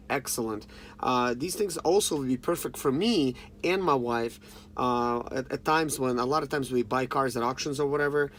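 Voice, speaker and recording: A man in his thirties giving a casual, unscripted product review in an informal, halting way, talking straight to his viewers. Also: mid-range voice. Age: 30s. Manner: informal, halting, often addressing viewers directly. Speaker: review channel host. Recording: clearly noisy background ambience.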